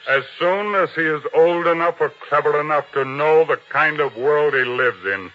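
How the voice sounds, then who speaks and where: A man's voice: on the high side, moderate pace, soft background music, close to an everyday voice. A man playing a hard, ambitious, commanding soldier in a 1950s radio drama, curt and sardonic. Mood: cold, commanding. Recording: dull, noisy vintage radio drama recording.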